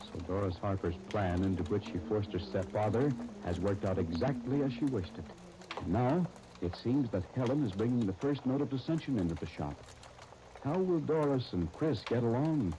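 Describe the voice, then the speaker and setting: A man announcing on 1930s radio, reading narration and sponsor copy in a polished, dramatic, deliberate style. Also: lowish voice. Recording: old 1939 radio disc with faint crackle.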